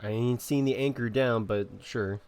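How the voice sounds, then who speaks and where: A man's voice: mid-range voice, normal speed, darkish tone, clear, resonant tone. A man streaming a video game with casual, chatty commentary.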